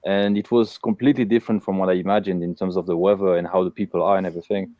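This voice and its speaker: A man's voice: low-pitched, little pitch movement, rapid-fire, warm tone, no room sound. A man from Belgium hosting a casual live interview, talking with many fillers and restarts. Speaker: host.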